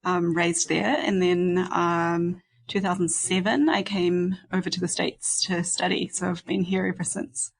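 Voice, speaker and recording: A young woman with a New Zealand accent, chatting casually as an interview guest, laughing often and filling pauses with ums. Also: lowish voice, flat delivery, vocal fry. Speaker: guest, violinist and ensemble founder.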